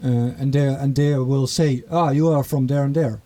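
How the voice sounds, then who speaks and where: A man's voice: mid-range voice, steady tone, warm tone. A man from the east of the Netherlands with a Dutch accent, talking hesitantly as a guest in casual conversation. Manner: hesitant, halting with many fillers.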